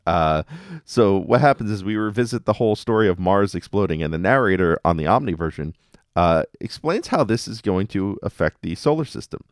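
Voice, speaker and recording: A man's voice: sits low, animated, a little fast. A man hosting a casual fan podcast, recapping cartoon episodes in an enthusiastic, conversational way. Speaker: podcast host. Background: music under the voice.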